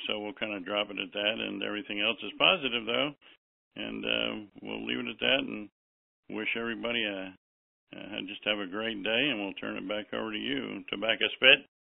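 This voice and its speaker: Gravelly, low-voiced man on the phone. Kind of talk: casual, rambling call talk. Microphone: telephone. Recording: thin, narrow phone line.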